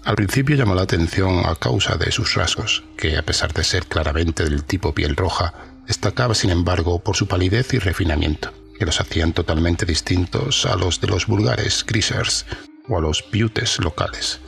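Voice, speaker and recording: Male actor as aging, secretive ex-officer in an audio horror tale: deep, creaky, formal, measured, music beneath. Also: a bit bright. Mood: measured, reflective. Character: weary, secretive old former army officer recounting horror. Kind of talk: first-person audio horror storytelling. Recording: music under the voice.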